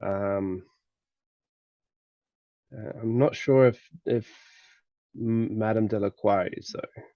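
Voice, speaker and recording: An Australian man co-hosting a fan podcast, chatting casually about books in a halting, hesitant way. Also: lowish voice, steady tone, no echo, highs cut off. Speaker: podcast co-host. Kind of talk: casual book chat.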